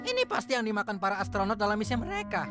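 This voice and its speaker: An actor voicing a goofy, excitable, food-loving young man in a cartoon dub. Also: medium pitch, very fast, lightly acted. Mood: goofy, dreamy. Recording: music behind the voice.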